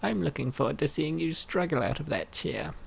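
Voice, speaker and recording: Gravelly man acting out comic dialogue. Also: on the high side, expressive, fairly quick. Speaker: comic dub voice actor. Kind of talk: acted reading of comic dialogue.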